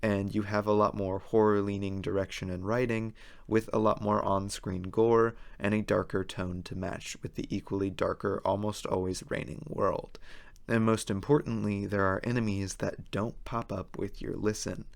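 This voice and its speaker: A man hosting a video essay in a casual, opinionated commentary style, his voice hoarse and worn as if he is losing it. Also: lowish voice, level tone, moderate pace.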